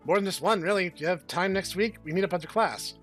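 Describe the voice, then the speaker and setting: Bubbly, teasing schoolgirl with a high voice, read by a man in a let's play. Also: fast talker, a bit bright, soft background music. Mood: cheerful. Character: cheerful, scheming young female student.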